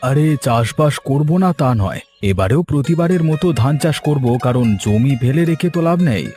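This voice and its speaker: A man's voice: on the high side, animated, moderate pace, dark tone, faint music behind, lightly acted. A man voicing an earnest, trusting and poor village fish farmer in a cartoon folk tale. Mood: earnest, hopeful. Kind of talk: cartoon fairy-tale dialogue.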